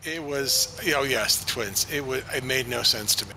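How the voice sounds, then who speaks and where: A man chatting casually on a gaming stream, speaking slowly and hesitantly, mildly unimpressed. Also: medium pitch, vocal fry, bright, forceful tone, slight background noise. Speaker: streamer and co-host. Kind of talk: casual chat while gaming.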